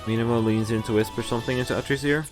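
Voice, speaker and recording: A man's voice: mid-range voice, steady tone, rapid-fire. A man live-streaming a visual novel, reading the dialogue and narration aloud with playful, casual asides. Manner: playful, breaks into laughs and asides while reading. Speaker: game streamer. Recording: music behind the voice. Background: game background music.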